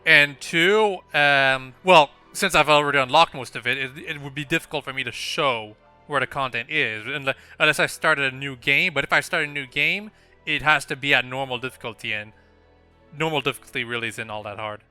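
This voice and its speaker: A man gives casual gameplay commentary, explaining things in a rambling fashion. His voice is strikingly bright and clean, with some music behind it. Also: mid-range voice, brisk, clean tone. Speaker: gaming channel host.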